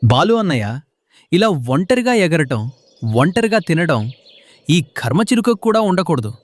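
A man's voice: a little high, very animated, measured pace, no room sound. A man voicing a talkative young male parrot in a children's cartoon. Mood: sympathetic.

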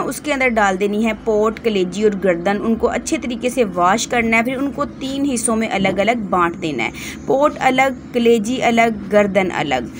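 A woman with a Pakistani accent narrating a cooking vlog as host, giving step-by-step instructions and repeating key words for emphasis. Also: fairly high pitch. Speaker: home cook and cooking channel host. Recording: clearly noisy home recording.

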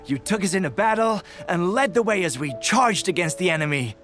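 A man's voice: high voice, animated, a little fast, music in the background. A man playing a cheerful, eager and loyal soldier in a video game, hearty and emphatic. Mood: rousing. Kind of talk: scripted video game voice acting.

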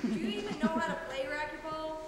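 A young woman playing an exasperated, sharp-tongued but loving wife in a stage comedy, dry and impatient. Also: high voice, steady tone, fast, close to an everyday voice. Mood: mocking. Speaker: stage actor. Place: theatre stage. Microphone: distant audience camera. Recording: echoey noisy theatre hall.

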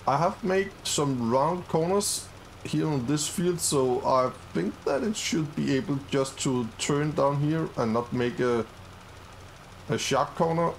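Upbeat, slow-talking gamer man. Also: a little high, vocal fry, a little hiss or hum, low-quality recording. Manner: upbeat, easily excited, many fillers. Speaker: gaming channel host. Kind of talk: casual let's-play commentary.

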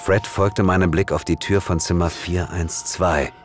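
A man playing a grave investigator hero who narrates his own hunt in an atmospheric, measured audio drama style. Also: low-pitched, fairly even intonation. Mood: grave, tense. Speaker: first-person narrator and lead actor. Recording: music behind the voice.

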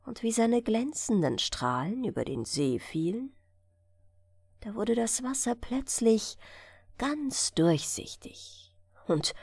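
A woman narrating a bedtime fairy tale audiobook in a gentle, expressive storytelling manner. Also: fairly low pitch, husky, no room sound.

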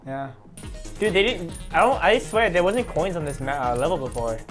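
A man's voice: fairly high pitch, sing-song, moderate pace, over music. A young man on a couch with a lapel mic, making blunt, profane, mocking remarks at a friend playing a game. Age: young adult. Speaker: co-host. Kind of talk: trash-talking banter. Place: living room couch indoors.

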